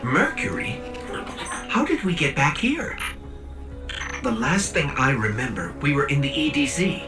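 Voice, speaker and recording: An actress playing a dazed, bewildered AI companion in a video game, as if just waking up. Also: female voice, low voice, very animated, a little slow. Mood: dazed, confused. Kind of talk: scripted video game voice acting. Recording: distant, with clear music behind.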